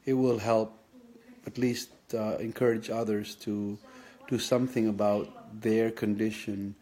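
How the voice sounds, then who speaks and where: A man, recalling his childhood in a reflective personal vlog, thinking aloud with many pauses and restarts. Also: fairly low pitch, flat delivery, dark tone. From Philippines. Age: 50s. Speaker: vlogger sharing his kidney story.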